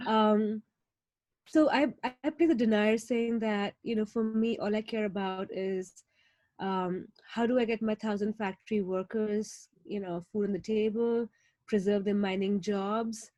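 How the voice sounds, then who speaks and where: A woman with an Indian accent recounting a role play on a video call, relaxed and easygoing. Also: medium pitch, unhurried, no echo. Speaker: workshop participant. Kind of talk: casual recap on a video call.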